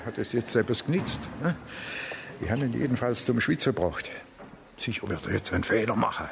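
An actor playing a blunt, grumbling police detective in a radio drama. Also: male voice, mid-range voice, animated, fairly quick, vocal fry, background noise. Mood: probing, blunt. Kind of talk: colloquial radio drama acting. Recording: music behind the voice.

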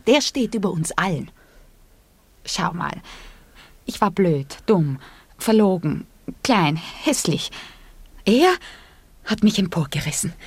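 A woman's voice: lowish voice, husky, no room sound, full character voice. An actress playing a demure, devoted and naive young small-town woman in a radio play, hesitant and often half-whispering.

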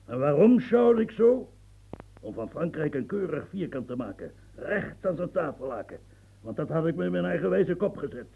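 An actor playing a proud, blustering old marshal in a radio play, gravelly and coughing, swinging from mumbles to outbursts. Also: male voice, on the high side, very animated, moderate pace, warm tone, exaggerated voice. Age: older.

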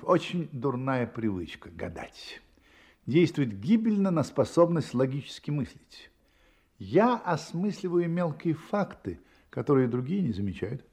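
Confident male detective, radio play, analytical, deliberate, animated intonation, husky tone, dramatic pauses. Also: on the high side, full character voice. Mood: confident, explanatory. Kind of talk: Russian radio play acting.

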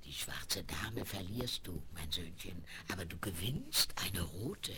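A woman's voice: very deep voice, lively intonation, takes it slowly, exaggerated voice. An actress playing an old, motherly yet mysterious circus fortune-teller in a radio play, speaking in dramatic pronouncements full of endearments. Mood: mysterious, slow. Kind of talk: German radio play for young listeners. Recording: noisy 1970s vinyl radio play.

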